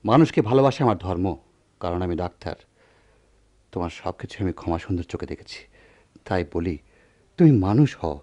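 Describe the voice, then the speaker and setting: An actor playing a proud, wounded village doctor and husband in a declamatory radio drama style. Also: male voice, mid-range voice, mellow, lightly acted. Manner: declamatory, impassioned. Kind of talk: melodramatic radio drama acting.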